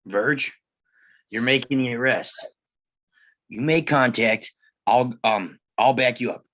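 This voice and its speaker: A man acts out a reluctant, cautious frontier lawman at a table read. The voice is bright and forceful at a middle pitch, with a halting, plain-spoken delivery and a weary temper. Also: dull audio. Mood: tense, commanding. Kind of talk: live screenplay table read.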